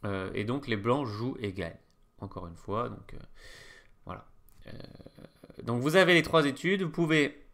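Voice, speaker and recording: A man's voice: mid-range voice, lively intonation, normal speed, creaky, pauses often, fairly bright tone. A man teaching chess in a casual, hesitant way, full of fillers and restarts. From France. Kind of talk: casual screen-share lesson.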